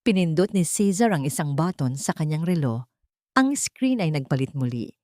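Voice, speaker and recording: A woman's voice: sits low, lively intonation, normal speed, darkish tone, no room sound, studio quality. A woman storyteller reading dramatic scripted fiction narration.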